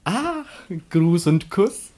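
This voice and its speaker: A man's voice: high voice, big pitch swings, drawn-out words, dark tone, exaggerated voice. A man in a German radio comedy playing a cheeky, tipsy young gigolo of ancient Greece with a mocking manner.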